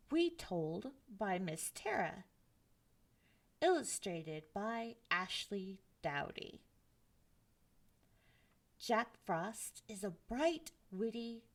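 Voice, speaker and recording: A woman storyteller reading a children's story aloud in a gentle, expressive way. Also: medium pitch. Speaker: library storyteller.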